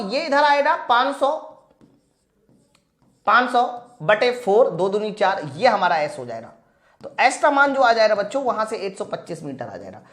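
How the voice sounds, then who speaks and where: A woman teaching an exam-prep science class, emphatically working through questions, prompting students and repeating key points. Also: a little high. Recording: roomy echo.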